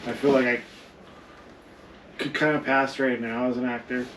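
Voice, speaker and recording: A man's voice: medium pitch, level tone, normal speed. A young man co-hosting a casual gaming podcast, playful and full of teasing quips. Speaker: podcast co-host. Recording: roomy echo, distant mic, faint noise.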